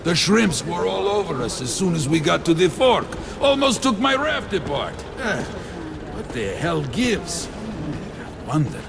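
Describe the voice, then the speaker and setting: A man voicing a joking, world-weary fisherman in a video game, with a gravelly, teasing drawl. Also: high voice, very animated, a little slow, a crowd in the background, heavily acted. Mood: chatty.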